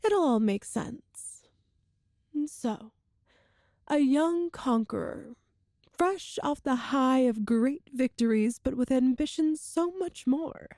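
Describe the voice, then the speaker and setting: High-voiced, imperious evil empress. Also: female voice, animated, warm tone, no echo, clean studio sound, heavily acted. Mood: storytelling, beguiling. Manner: theatrical, haughty, drawn-out deliberate phrasing. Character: cruel imperious empress, seductive, menacing tyrant. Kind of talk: dramatic ASMR roleplay audio drama.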